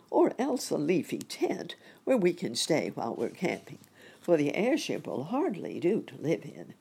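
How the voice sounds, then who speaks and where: A kindly gentleman rabbit from a children's storybook, voiced by a woman. He is courteous and wise, gently instructive, with a low, creaky voice. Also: exaggerated voice.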